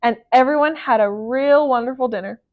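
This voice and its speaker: High-voiced woman reading a children's story. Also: a little fast, narrow, tinny audio. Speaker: library storyteller. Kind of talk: children's storybook read-aloud.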